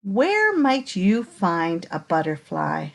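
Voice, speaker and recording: A woman reading a children's picture book aloud in an expressive, unhurried way, pausing to put inviting questions to young listeners. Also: fairly low pitch, vocal fry.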